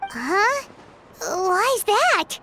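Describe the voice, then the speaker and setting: A woman voicing a tiny, chatty, cheeky fairy companion in a video game, with a squeaky childlike voice. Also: very animated, extremely slow, bright, forceful tone, slight background noise, heavily acted. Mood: surprised, curious. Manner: refers to self in third person.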